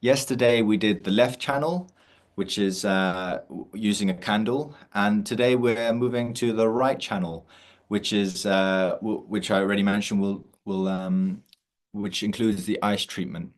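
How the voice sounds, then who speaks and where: Relaxed man hosting a video-call meditation. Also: fairly low pitch, measured pace. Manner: relaxed, many fillers and restarts. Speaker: meditation session host. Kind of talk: casual online session introduction.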